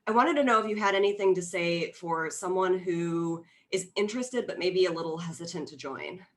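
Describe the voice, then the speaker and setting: A woman's voice: lowish voice, normal speed, close to an everyday voice. A woman playing a shy, introverted audience member asking a tentative question in an audio drama. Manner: tentative, hesitant.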